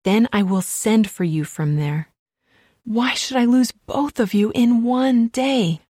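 A woman's voice: a little high, takes it slowly, dark tone, dry recording, studio quality. A woman voicing an anxious mother of two sons in a dramatized scripture reading, speaking urgently and insistently.

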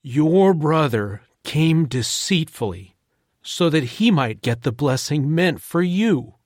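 A man's voice: fairly high pitch, animated, slow, a little mellow, no echo, clean studio sound, pitched above the performer's own voice. A man playing a quavering aged patriarch. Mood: grave. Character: aged blind patriarch father, trembling and grave. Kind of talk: dramatized scripture reading.

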